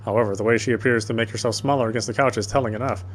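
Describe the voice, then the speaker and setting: A man reading a visual novel's narration aloud in a measured, literary way, with small stumbles over the words. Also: mid-range voice, fairly even intonation, slight background noise, rough recording. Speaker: let's player reading game text.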